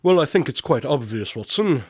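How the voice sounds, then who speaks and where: Actor as an animated, self-assured male detective. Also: medium pitch, moderate pace, breathy edge, dry recording. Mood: dry, assured. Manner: precise, drily superior. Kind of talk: radio drama acting.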